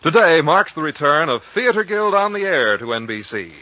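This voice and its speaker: A man's voice: fairly high pitch, big pitch swings, normal speed, dry recording. A man with an American accent reading a formal, polished script as a 1950s radio network announcer. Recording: dull vintage radio broadcast.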